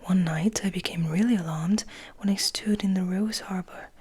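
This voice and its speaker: A woman reading a story aloud as soft ASMR, in a breathy, mostly unvoiced whisper with gentle, unhurried delivery. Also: medium pitch. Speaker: ASMR host and reader.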